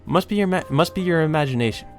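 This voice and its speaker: A man acts out a teenage schoolgirl from a visual novel in a raised pitch. She is calm and nonchalant, with a teasing, non-committal way of talking. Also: fast, faint music behind, lightly acted.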